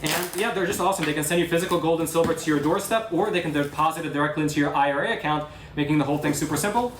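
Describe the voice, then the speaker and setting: Fast, eager man reading an ad. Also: a little high. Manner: enthusiastic, informal, shows products. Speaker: ad presenter. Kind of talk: casual sponsor read. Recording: echoey room with faint noise.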